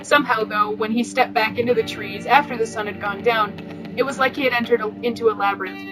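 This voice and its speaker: A woman's voice: a little high, steady tone, brisk, music in the background, poor audio. A woman hosting a podcast, chatting informally and reading fan fiction aloud in an amateur, sweary, offhand way. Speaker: podcast host.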